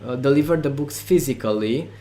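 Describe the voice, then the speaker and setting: A man's voice: medium pitch, a little fast, mellow. A man with a Romanian accent, a non-native English speaker, being interviewed about making online courses, talking with many fillers and restarts. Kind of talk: interview conversation.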